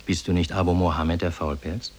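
A solemn spirit in human shape with a low, husky voice. He declaims formally and kindly, in the measured manner of old radio drama. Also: fairly even intonation, a little mellow. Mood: solemn, reassuring. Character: solemn, benevolent male demon in human form. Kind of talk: 1950s German radio drama acting.

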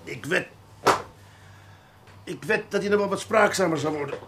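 A man playing a seasoned, world-weary police detective in a radio drama, deliberate and mock-agreeable, often repeating words as he probes. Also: high voice, vocal fry, noisy background. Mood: weary, wry.

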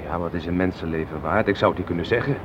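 An actor playing an earnest, lovestruck young man in a radio play, speaking in a gentle, hesitant way. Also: sits low, fast talker, natural voice. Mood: puzzled, earnest. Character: earnest, lovestruck, mild young man walking his date home. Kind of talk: Dutch radio drama acting. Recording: muffled, noisy old radio play recording.

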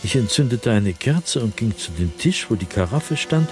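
An actor playing a tormented man sliding into madness, recounting his nightmares as a diary in a radio drama, in a brooding, halting manner that swings between hushed and agitated. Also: lowish voice, big pitch swings, music in the background. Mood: disturbed, tense. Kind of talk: dramatic radio play monologue.

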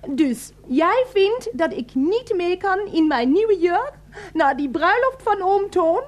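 Sceptical girlfriend: very high, animated voice, indignant challenges, radio drama. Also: slow pace, heavily acted. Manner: pointed, challenging. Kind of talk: Dutch radio play acting.

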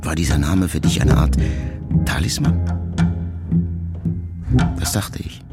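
A middle-aged actor playing a reflective grown son, a writer, who addresses his dying mother in a measured, confessional literary monologue for a radio play. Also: rumbling low. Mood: hurt, confessional. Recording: music behind the voice. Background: music.

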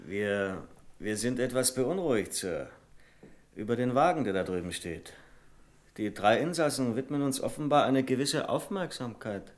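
An actor in a radio play playing a fussy, easily exasperated senior clerk who speaks in a formal, sceptical, pointed way. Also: male voice, medium pitch, lively intonation, normal speed, natural voice. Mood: stiffly polite.

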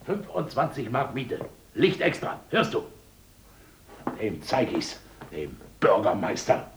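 An actor playing a bitter, domineering village miller who barks orders in a gruff, scornful way. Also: male voice, mid-range voice, very animated, slow, a little hiss or hum. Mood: bossy, bitter. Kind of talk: radio play acting.

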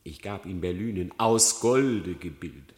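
Cunning fox, radio play, low and bright, roomy echo. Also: male voice, lively intonation, unhurried. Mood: wheedling. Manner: pleading, wheedling, rising to bold defiance. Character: sly cunning male fox on trial.

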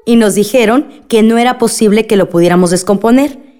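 A woman's voice: a little high, brisk, dark tone, natural voice. A middle-aged woman playing a polite but firm village councilwoman and mother in a radio drama. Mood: politely firm.

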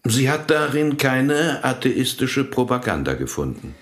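An actor in a radio play playing a matter-of-fact churchman with a measured, formal, deliberate manner. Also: medium pitch, big pitch swings. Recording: echoing, reverberant room.

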